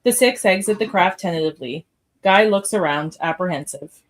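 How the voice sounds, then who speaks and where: A woman's voice: fairly low pitch, animated, normal speed. Woman narrating screenplay stage directions. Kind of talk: scripted narration in a live script read.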